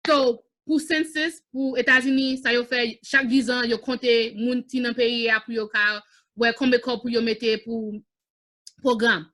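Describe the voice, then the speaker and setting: Bright, high-voiced young woman hosting. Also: moderate pace. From United States. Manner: animated, gestures while talking, mixes in Haitian Creole. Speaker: host. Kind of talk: casual video-call interview. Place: living room, indoors. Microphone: video call webcam.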